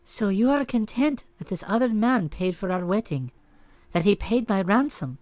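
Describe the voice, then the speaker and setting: Earnest young wife, high and lively, as a male narrator puts her on. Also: normal speed, no room sound. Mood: troubled.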